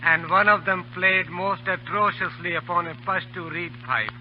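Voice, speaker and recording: A man's voice: high-pitched, moderate pace, lightly acted. An actor playing a formal, deferential henchman to an Afghan khan, in 1930s radio drama style. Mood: dutiful. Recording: muffled, noisy old radio broadcast.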